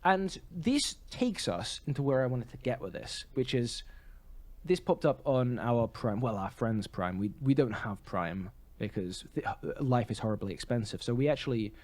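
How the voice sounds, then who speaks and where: A man speaks as a podcast co-host in loose, conversational review mode. His delivery rambles and halts, often doubling back to rephrase as he goes. The pitch sits in an ordinary middle range for a man. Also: lively intonation, normal speed, a little mellow. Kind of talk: casual film review chat.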